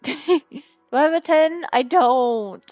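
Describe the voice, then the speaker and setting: A woman with a notably high voice streams a game at an unhurried, slow pace. She talks casually to her chat in a playful, expressive way, with music playing softly behind her. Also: lo-fi sound. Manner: playful, expressive, pleading and exclaiming. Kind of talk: casual livestream chat over gameplay.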